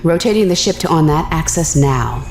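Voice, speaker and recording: An actress playing a determined, anxious young spaceship captain in an audio drama, urgent and thinking aloud. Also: female voice, deep voice, expressive, normal speed, echoey room, background noise. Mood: urgent planning.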